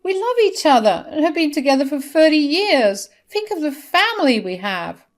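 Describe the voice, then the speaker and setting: A woman's voice: medium pitch, normal speed, close to an everyday voice. A woman voicing a manipulative, desperate older mother who pleads and protests dramatically in a story. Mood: tearful pleading.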